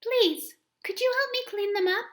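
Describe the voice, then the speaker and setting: A woman voicing a kind, patient good witch who asks politely and pleads in a high voice. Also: normal speed, a bit bright. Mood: pleading. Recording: roomy echo.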